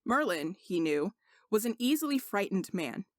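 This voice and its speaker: A woman's voice: mid-range voice, big pitch swings, moderate pace, no echo. Woman narrating a story, dry recording. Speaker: audiobook narrator of fan fiction.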